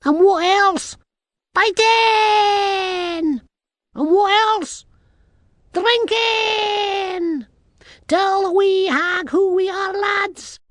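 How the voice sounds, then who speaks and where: A narrator voicing a tiny, rowdy male warrior creature with a Scottish accent and loud dialect speech, for a fantasy storybook. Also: extremely high pitch, drawn-out words, long pauses, dry recording, clean studio sound, pitched above the performer's own voice, full character voice. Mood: boastful, rousing. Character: tiny rowdy blue-skinned warrior man, anxious, boastful thief.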